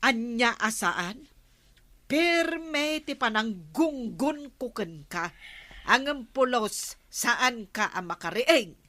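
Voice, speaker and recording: A woman's voice: a little high, animated, takes it slowly, bright, forceful tone, full character voice. A woman with an Ilocano accent playing the exasperated, nagging wife of a lazy village captain in a radio comedy drama. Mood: scolding. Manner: scolding, exasperated.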